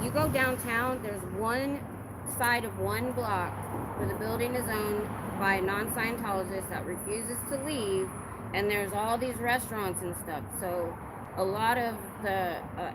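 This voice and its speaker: Woman livestreaming on a noisy street. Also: mid-range voice. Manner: animated, rising with emphasis when indignant. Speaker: livestreaming protester. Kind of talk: casual livestream chat, reading viewer comments. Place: outdoors on a city street. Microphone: phone held in hand. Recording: phone recording outdoors with street noise. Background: traffic and street noise.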